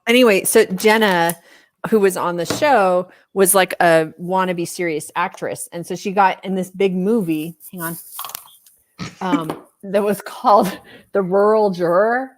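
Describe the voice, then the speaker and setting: Chatty rambling woman, lowish voice. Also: measured pace, a little hiss or hum. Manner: chatty, rambling, self-mocking. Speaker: podcast co-host. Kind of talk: casual chat over a video call.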